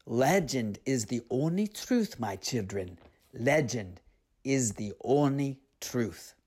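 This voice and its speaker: A storyteller as solemn, slow old mermaid grandmother. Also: mid-range voice, expressive, a little mellow, husky.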